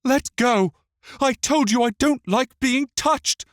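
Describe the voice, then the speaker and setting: Scared little ghost boy for an audiobook, way high voice, pleading and upset. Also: lively intonation, takes it slowly, no echo, clean studio sound. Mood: terrified screaming. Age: child. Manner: pleading, emotional, shrill when upset. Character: frightened, anguished ghost boy, bodiless, tormented. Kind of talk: dramatic audiobook character acting.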